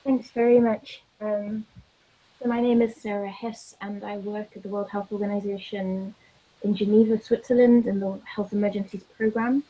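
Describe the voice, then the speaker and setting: A woman's voice: mid-range voice, flat delivery, moderate pace, mellow, husky, low-quality recording. A woman from Zimbabwe introducing herself as a panelist on a video call, friendly and slightly hesitant. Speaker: panelist, health official.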